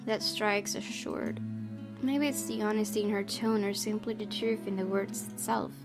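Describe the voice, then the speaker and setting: A woman's voice: medium pitch, steady tone, normal speed. A woman hosting a game playthrough, reading story narration expressively and adding casual commentary. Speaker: gaming video host. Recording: game music behind.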